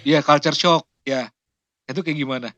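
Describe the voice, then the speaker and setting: A man's voice: on the high side, fairly even intonation, moderate pace, pushed and bright, no echo, lo-fi sound. A man hosting a podcast interview. He chats casually, exclaims often and laughs a lot. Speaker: podcast host.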